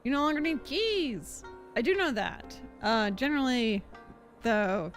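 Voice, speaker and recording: A woman's voice: fairly high pitch, expressive, a little slow, faint music behind. A young woman chatting casually and informally as she streams a video game, rambling and giggly. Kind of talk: casual livestream chat and game reactions.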